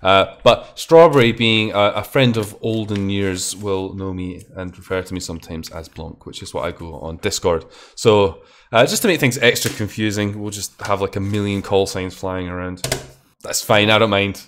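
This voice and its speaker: Young Scottish host, casual and rambling, swears freely, restarts sentences. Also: male voice, on the low side, normal speed, fairly bright tone, slight background noise. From Scotland. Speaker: stream host. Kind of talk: casual chat on a live stream.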